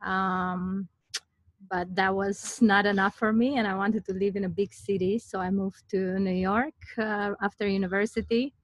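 A woman's voice: mid-range voice, fairly even intonation, normal speed, no echo. A woman from Romania, a community organizer chatting casually as a podcast guest, with fillers. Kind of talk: casual interview chat.

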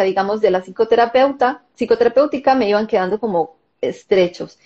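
A Colombian-accented woman, a psychologist, shares her story at a gentle, reflective pace. Her voice sits at an ordinary middle pitch and comes through slightly muffled, as on a low-fi call. She hesitates often with soft fillers. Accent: Colombian Spanish. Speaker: guest, psychologist and therapist. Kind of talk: interview, personal story.

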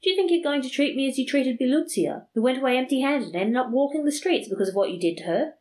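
A woman voicing a sharp-tongued peasant wife. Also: high voice, expressive, fast, voice pushed up. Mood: teasing. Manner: teasing, bargaining, scolding.

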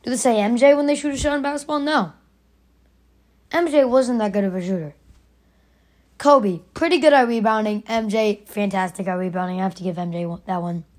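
A raspy young woman hosting her own podcast, arguing her opinion emphatically and repeating her points. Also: medium pitch, brisk, darkish tone. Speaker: podcast host. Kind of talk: opinionated solo podcast rant.

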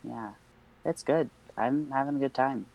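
A man's voice: mid-range voice, unhurried, creaky, muffled recording. A young man co-hosting a podcast in casual chat, rambling with many fillers and nervous laughs. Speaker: podcast co-host.

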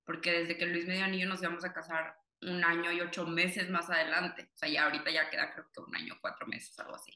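Young woman, bright low-pitched voice, rambling casual chat, echoey room. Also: level tone, fast, hardly any pauses. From Cuba, raised in Monterrey, Mexico. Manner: rambling, filler-heavy, reflective. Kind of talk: casual conversation.

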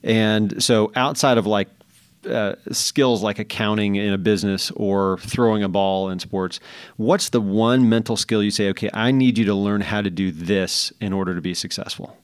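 A man's voice: on the low side, steady tone, normal speed, dry recording, clean studio sound. A man hosting a podcast, asking questions in a friendly, conversational way. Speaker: podcast host. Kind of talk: podcast interview.

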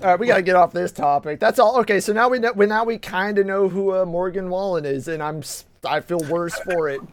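A man's voice: high voice, fairly quick, slight background noise. A man hosting a casual podcast, rambling and swearing freely, often stumbling over his words and restarting. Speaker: podcast host. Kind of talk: casual banter.

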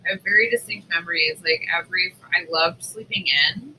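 Podcast co-host, male, higher-pitched and very bright, self-deprecating and jokey, faint noise behind. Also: brisk, rough recording. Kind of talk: casual chat.

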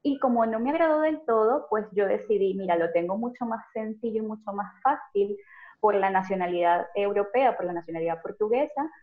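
A woman with a Venezuelan accent talks through her story with fluent, lively ease. Her voice sits at a middle pitch. It comes through a narrow, phone-like video call line. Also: fairly quick. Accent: Venezuelan Spanish. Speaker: guest, pharmacist. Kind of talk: conversational interview.

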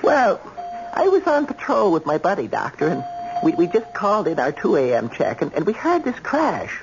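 An actor playing an earnest, talkative patrol sergeant in an old-time radio drama, with a folksy, halting way of talking. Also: male voice, high voice, huge pitch swings, vocal fry, music in the background, heavily acted. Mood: excited, earnest. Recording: dull lo-fi radio recording, faint noise.

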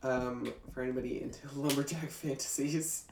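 A woman's voice: extremely low pitch, steady tone, moderate pace. A woman co-hosting a casual podcast, congested from a cold, tossing in dry joking asides. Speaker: podcast co-host. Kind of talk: casual chat. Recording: roomy echo, faint noise.

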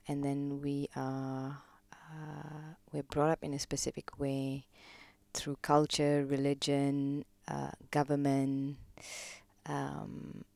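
A woman speaks low and unhurried, her voice carrying a gravelly fry. She reflects on her life as a podcast guest with soft, hesitant pauses. The sound is close and dry. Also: no room sound. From Malaysia. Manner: slow, hesitant, many pauses and ums, soft-spoken. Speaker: podcast guest, gong practitioner. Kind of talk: reflective personal interview talk.

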